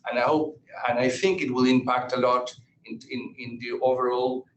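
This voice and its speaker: A man answering as an executive on an earnings call, haltingly, repeating and restarting his words often. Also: medium pitch, flat delivery. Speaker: company executive answering analysts. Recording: roomy echo, background noise, conference call.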